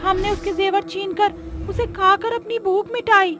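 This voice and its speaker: A woman's voice: very high voice, steady tone, a little slow. A woman voicing a gold-greedy ghostly witch in a horror story, in a theatrical manner. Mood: defiant.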